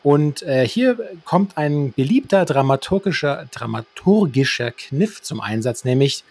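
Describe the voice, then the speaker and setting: Male co-host for an audio drama review show. Raspy edge, mid pitch, wordy and mock-formal delivery, very dry recording. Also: lively intonation, unhurried, darkish tone, no echo. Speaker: podcast co-host. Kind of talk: semi-scripted radio drama discussion with free commentary.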